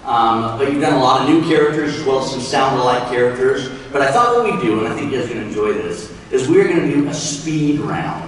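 A man's voice: mid-range voice, expressive, fast, few pauses. A middle-aged man hosting an onstage interview as the panel moderator. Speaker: panel host. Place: large stage in convention hall, audience behind. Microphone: handheld mic, captured by distant audience camera. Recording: echoey hall from far off, noisy crowd.

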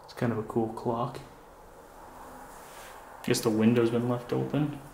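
A young man casually narrating his walk through an abandoned house, chatty and relaxed. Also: medium pitch, measured pace, vocal fry, slight background noise. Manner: relaxed, chatty, frequent "like" and laughs. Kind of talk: casual walkthrough vlog. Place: inside an empty abandoned house.

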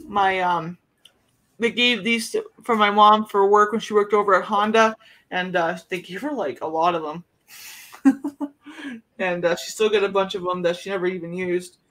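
A woman's voice: medium pitch, steady tone, normal speed, fairly bright tone. A woman in her thirties hosts a live clothing auction from a small bedroom at home. She describes each item in a loose, rambling way, full of fillers and asides. The sound is that of a webcam at her desk. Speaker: online auction host.

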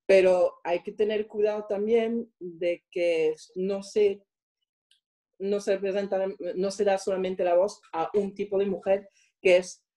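A woman from France with a French accent, giving reflective interview answers and often pausing to search for the right words. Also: medium pitch, little pitch movement, dark tone. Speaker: interviewed guest.

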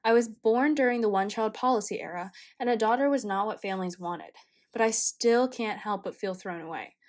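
A young woman in college, from China and raised in the United States, reading a quiet, reflective personal narration. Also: mid-range voice. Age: young adult. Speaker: college student.

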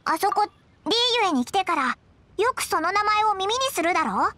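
Squeaky, very high-pitched little fairy girl. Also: lively intonation, moderate pace, sharp tone, dry recording, exaggerated voice. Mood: curious, doubtful. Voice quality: squeaky, childlike. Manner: blunt, teasing, exclamatory. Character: small floating fairy girl companion, childlike, cheeky and nagging. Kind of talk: Japanese anime game voice acting.

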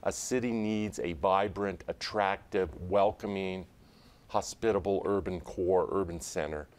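A middle-aged man with a very low, deep voice, building his argument step by step on a TV panel. He sounds measured and deliberate, recorded close on a lapel mic in a studio. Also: a little mellow. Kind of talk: televised political panel debate. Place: television studio desk.

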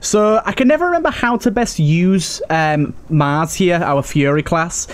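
A man with a fairly high voice hosts a let's play. He talks casually and chattily, thinking aloud through his choices as he goes. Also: a little slow. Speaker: gaming host.

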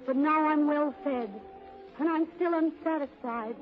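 A high-voiced, dreamy young secretary. She speaks with wistful theatrical innocence in 1930s radio drama style. The vintage recording is muffled, with faint music behind. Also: a little slow.

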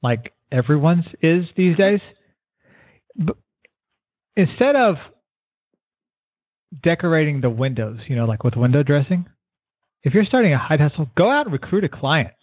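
A middle-aged man, a keynote speaker guest giving earnest motivational advice, repeating words and slowing down to stress his points. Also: fairly high pitch, sing-song, no room sound. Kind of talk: motivational interview answers. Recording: dull lo-fi radio sound.

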